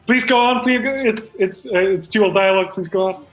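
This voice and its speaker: A man's voice: sits high, moderate pace, roomy sound, faint music behind. A man with an earnest, flustered, hesitant manner, narrating stage directions and chatting comically at a script read. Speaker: screenwriter reading out his script at a comedy table read.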